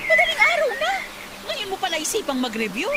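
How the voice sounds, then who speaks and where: An actress playing a stingy, nagging aunt in a Filipino radio drama, speaking sharply and sarcastically with a Filipino accent. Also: female voice, very high voice, huge pitch swings, fast talker, very noisy, heavily acted. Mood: irritated. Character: harsh, stingy, scolding aunt of the household. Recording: loud music bed behind voice.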